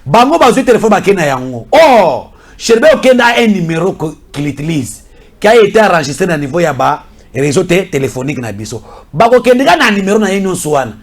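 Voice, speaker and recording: Congolese man, excitable voice with sweeping pitch. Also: brisk. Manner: emphatic, repetitive, insistent. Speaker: political commentator. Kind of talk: heated political talk mixing French and Lingala.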